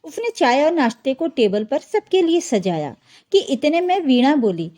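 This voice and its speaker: A woman's voice: sits high, big pitch swings, normal speed, warm tone. A woman narrating a scripted family drama story as a storyteller.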